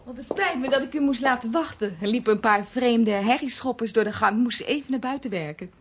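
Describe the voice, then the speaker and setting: Woman acting as polite flustered dutch nurse, high voice, radio play, noisy old recording. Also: a little fast, lightly acted. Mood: polite, friendly. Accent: Netherlands Dutch. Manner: courteous, anxious, helpful. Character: polite, flustered, caring female hospital nurse.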